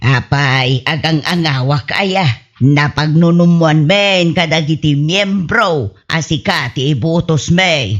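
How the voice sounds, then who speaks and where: A woman's voice: low-pitched, slow pace. A woman playing a matter-of-fact older village woman, persuasive and insistent in a comedy radio drama. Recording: muffled audio with music underneath.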